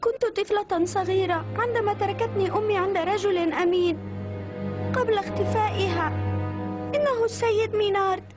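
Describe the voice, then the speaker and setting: A woman's voice: very high voice, a little fast. A woman playing an earnest orphaned young servant girl in a dubbed Arabic cartoon. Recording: clear background music.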